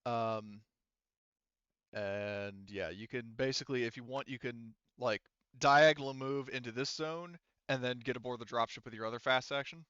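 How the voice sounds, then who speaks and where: A man runs a tabletop roleplaying game with a forceful, clear voice at a middling pitch. His narration is casual and informal, and the close, dry sound is slightly dull and lo-fi. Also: normal speed, no echo. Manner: informal, occasional swearing. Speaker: game master.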